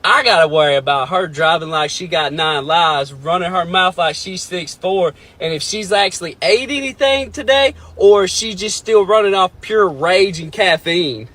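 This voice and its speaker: Slightly high-voiced man griping casually. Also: moderate pace, hardly any pauses, fairly bright tone.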